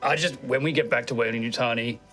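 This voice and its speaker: A man's voice: medium pitch, steady tone, fast, a bit bright, soft background music, pitched below the performer's own voice, lightly acted. A man playing a stern, exasperated spaceship captain who goes by the book.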